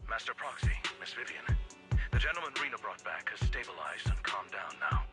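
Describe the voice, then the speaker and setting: Man acting low-voiced, courteous male aide. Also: animated, lightly acted. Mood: calm, courteous. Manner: polite, measured, formal. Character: courteous, composed male aide giving mission briefings. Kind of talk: video game voice acting. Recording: game dialogue under very loud music.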